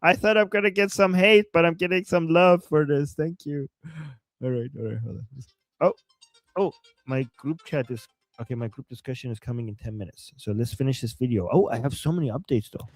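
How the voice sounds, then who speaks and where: A man with a Persian accent co-hosting a live stream, reading out chat messages and reacting with animated, joking commentary and frequent laughter. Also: medium pitch, a little fast, dry recording, soft background music.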